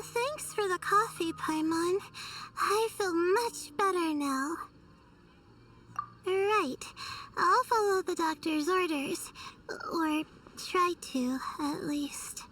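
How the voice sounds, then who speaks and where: Super high bright anxious student girl for a game, halting, sleepy, slow. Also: expressive, distant mic, soft background music, full character voice. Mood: relieved, weary. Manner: hesitant, halting, soft-hearted. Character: timid, sleepy, anxious young female student. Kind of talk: scripted video game voice acting.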